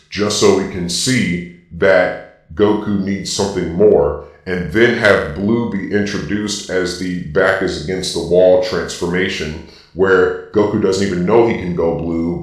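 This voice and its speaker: A man voicing a robotic dark-lord villain through a robot voice filter, giving opinionated, emphatic casual commentary. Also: deep voice. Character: Darth Vader-like robotic villain explaining things. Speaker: video host ranking anime transformations. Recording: very echoey, distant from the mic.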